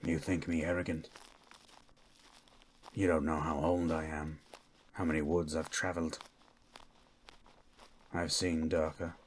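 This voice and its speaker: A man playing a stern, menacing monster hunter in an ASMR roleplay, with a low, gruff voice and a terse, threatening manner. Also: little pitch movement, unhurried, pauses often, a little hiss or hum, low-quality recording. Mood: cold, confident. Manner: terse, threatening, grunts hmm.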